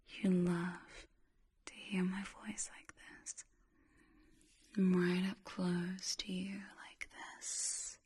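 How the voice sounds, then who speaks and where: A woman whispers right up close to the microphone in a slow, airy hush. Her voice stays flat and even, soothing and coaxing, with phrases repeated softly in an ASMR hypnosis style. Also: medium pitch, slightly rough.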